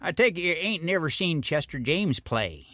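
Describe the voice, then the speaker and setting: Wrinkly old mole janitor for an audio play, folksy n chuckly, real high sharp voice. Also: male voice, sing-song, moderate pace, no room sound, clean studio sound. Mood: chuckling, friendly. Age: older. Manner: folksy old-timer drawl with frequent chuckles. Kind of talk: comic detective audio drama acting.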